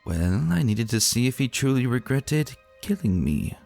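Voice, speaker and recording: A serene elder princess from a fantasy tale, played by a male reader. The voice is soft, teasing and unhurried, lifted in pitch over music. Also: very animated, creaky. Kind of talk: dramatic fantasy story reading. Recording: music behind the voice.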